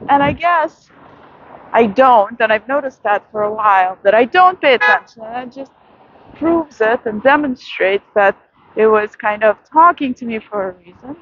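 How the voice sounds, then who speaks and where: A woman vlogger giving a soft, reflective late-night monologue outdoors in a city, with many pauses and fillers. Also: a little high, lively intonation, muffled recording, a little hiss or hum.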